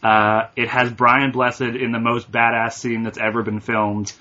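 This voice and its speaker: Bright-voiced podcast co-host, lo-fi. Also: male voice, medium pitch, flat delivery. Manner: thoughtful, halting, with fillers. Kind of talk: casual film discussion. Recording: muffled lo-fi recording.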